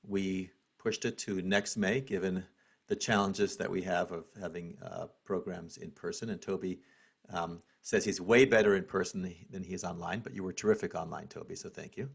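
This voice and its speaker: A man's voice: deep voice, gravelly, husky. A man hosting an online question-and-answer session on a video call, talking casually with many fillers. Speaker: program host.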